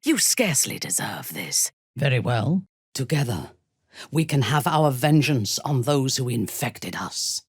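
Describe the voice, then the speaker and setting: A ruthless female warrior commander from a fantasy video game. Her voice is deep and breathy, recorded close and dry. She speaks with slow, haughty menace and dark amusement, in formal, cutting words. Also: sing-song, no room sound, heavily acted. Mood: cold disdain. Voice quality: husky, breathy. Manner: haughty, measured, formal diction with biting disdain. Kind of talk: video game character dialogue.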